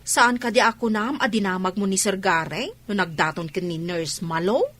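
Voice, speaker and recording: A woman's voice: mid-range voice, moderate pace, a bit bright, close to an everyday voice. A woman playing a nosy, teasing household confidante in a radio comedy drama. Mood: probing.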